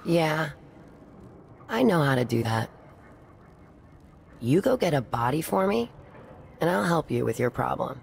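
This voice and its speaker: A very deep, gravelly underworld schemer. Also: female voice, sing-song, measured pace, pauses often, slight background noise, full character voice. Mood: businesslike, conspiratorial. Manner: blunt, sly, streetwise. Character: brash crude female underworld schemer, shady and self-assured. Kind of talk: video game character dialogue.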